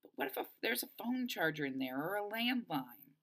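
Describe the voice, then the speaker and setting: Woman doing a slangy stubborn teen girl from a storybook, sarcastic, frustrated, pitch jumps around. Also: sing-song, normal speed, fairly bright tone. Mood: irritated. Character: determined, frustrated teenage girl with hurt ankle.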